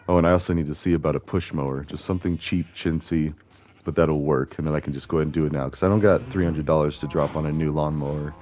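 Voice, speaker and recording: A man with a gravelly voice, chatting casually and rambling as he plays a video game, often correcting himself. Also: rumbling low, fairly even intonation, fast, dark tone. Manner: chatty, meandering, self-correcting. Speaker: gaming video host. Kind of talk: casual rambling commentary while playing.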